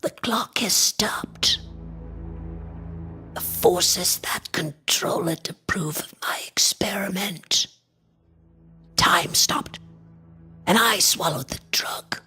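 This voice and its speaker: An actor playing an obsessed, imperious antiquarian in a radio horror drama, breathy and husky with grandiose, formal delivery that often sinks toward a whisper. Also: male voice, high voice, pushed and bright, faint music behind, heavily acted. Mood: entranced, whispering. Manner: grandiose, deliberate, formal diction. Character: obsessed, imperious male antiquarian experimenting with a mystical drug. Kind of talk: theatrical radio horror acting.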